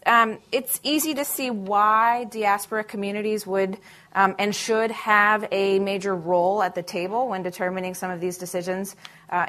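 A woman speaking as a panelist in a discussion, measured and analytical, with frequent fillers and restarts. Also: mid-range voice, fairly bright tone.

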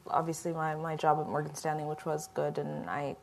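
Low-voiced woman panelist from Ethiopia. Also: flat delivery, brisk, creaky. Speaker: panel guest, company founder. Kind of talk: panel discussion answer.

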